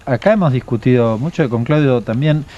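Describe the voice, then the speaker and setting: A man's voice: medium pitch, a little fast, mellow, highs cut off. A man with an Argentine accent speaking emphatically as a panelist on a political talk show, repeating phrases for stress. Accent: Argentine Spanish.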